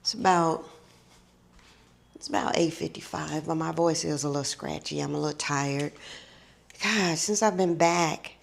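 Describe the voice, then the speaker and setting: A woman's voice: low-pitched, fairly even intonation, unhurried, gravelly, long pauses. A middle-aged woman vlogging to her viewers, chatty and drawn out, calling them honey and baby, her voice a little tired and scratchy. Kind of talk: casual chatty vlog.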